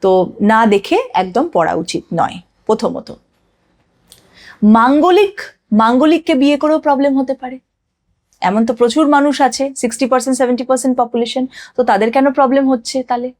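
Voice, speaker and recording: Emphatic higher-voiced woman explaining. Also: moderate pace, a little mellow. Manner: emphatic, asks and answers own questions; mixes in English phrases. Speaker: astrologer, podcast guest. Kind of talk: explanatory podcast talk.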